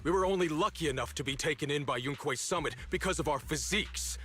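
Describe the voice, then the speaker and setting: A man's voice: fairly high pitch, fast talker, fairly bright tone, close to an everyday voice. An actor playing a bitter, resolute young man, an orphaned former disciple, in a dramatic video game with sardonic, accusing delivery. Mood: bitter accusation. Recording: studio voice over background music. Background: music.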